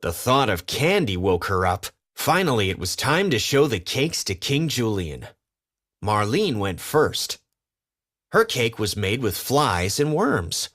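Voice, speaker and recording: Slow, very animated male storybook narrator. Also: medium pitch. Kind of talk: scripted children's storybook narration.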